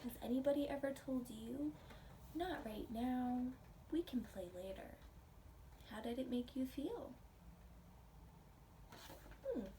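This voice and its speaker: A woman preschool teacher reading a picture book aloud in a gentle, simple teacherly way, pausing to put questions to young listeners. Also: sits high, animated. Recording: distant microphone, faint background noise.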